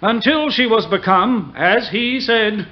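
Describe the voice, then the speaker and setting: An actor playing an earnest prosecuting attorney in a period radio play, formal and measured. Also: male voice, high-pitched. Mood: grave, narrating. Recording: muffled radio drama recording.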